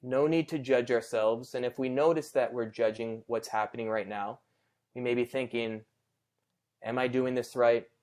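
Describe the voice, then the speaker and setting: Guy doing a guided meditation, really smooth clear voice, gentle n unhurried, kinda chatty. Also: medium pitch, steady tone. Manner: gentle, reassuring, unhurried with long pauses. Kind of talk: guided meditation, conversational and unscripted.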